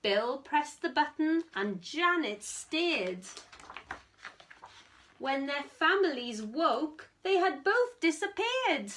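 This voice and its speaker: A woman reading a rhyming picture book aloud to children in a theatrical, deliberate way with strong emphasis. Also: high voice, big pitch swings, fairly bright tone, a little hiss or hum. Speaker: storytime reader, teacher.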